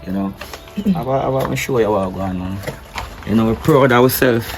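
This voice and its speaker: A man playing a hurt, betrayed husband, speaking low and measured, then forcefully. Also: lively intonation, faint music behind, close to an everyday voice. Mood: subdued. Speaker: husband in a domestic drama skit. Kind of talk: improvised-sounding skit acting.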